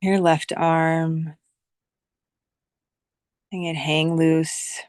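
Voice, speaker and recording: A woman leading a guided visualization meditation as a teacher, speaking soothingly in short phrases with long, unhurried pauses. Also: sits low, flat delivery, a little mellow, dry recording, studio quality.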